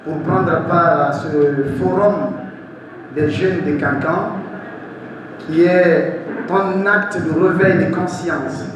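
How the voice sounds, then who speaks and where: A young man with a Guinean accent speaks in a high voice as a panel moderator. His delivery is slow, formal and deliberate, addressed to a forum audience. The sound is very echoey, as in a large hall. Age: young adult. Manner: formal, deliberate, repeats phrases for emphasis. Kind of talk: public speech to a forum audience. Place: large echoey room at a live event. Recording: echoey hall, distant mic, faint noise.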